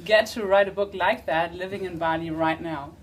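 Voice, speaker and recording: A woman's voice: lowish voice, steady tone, normal speed, breathy edge. A woman hosting a casual interview, asking curious follow-up questions and prompting her guest along. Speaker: host.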